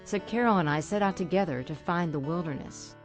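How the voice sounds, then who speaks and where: A woman's voice: on the low side, a little fast. An older woman with an American accent narrates a scripted personal story as a radio host. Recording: guitar music behind voice. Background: guitar music.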